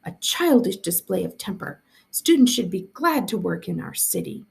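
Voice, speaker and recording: A woman's voice: lowish voice, big pitch swings, moderate pace, gravelly, heavily acted. A woman reading a storybook aloud as a stern, pompous, easily affronted male mayor, formal and scolding. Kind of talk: storybook dialogue read aloud.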